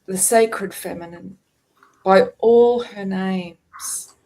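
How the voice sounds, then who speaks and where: A woman hosts a spiritual podcast from a video call. Her voice sits at an ordinary middle pitch, and she moves through an invocation with slow, reverent, ceremonial care, taking her time over every phrase. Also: dark tone, poor audio. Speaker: podcast host.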